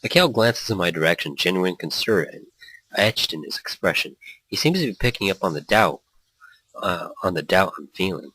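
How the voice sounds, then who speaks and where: A man's voice: sits low, animated, moderate pace, pushed and bright, slight background noise, poor audio. A man hosting a gaming let's play, reading story text aloud with playful, rambling asides and small stumbles. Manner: playful, rambling, stumbles over read lines.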